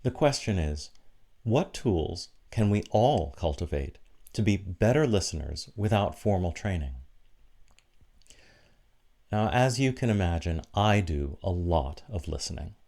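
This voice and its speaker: A man delivering a prepared, reflective sermon with measured, deliberate phrasing and short pauses for emphasis. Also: sits low, animated, warm tone. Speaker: preacher giving a sermon for an online church service.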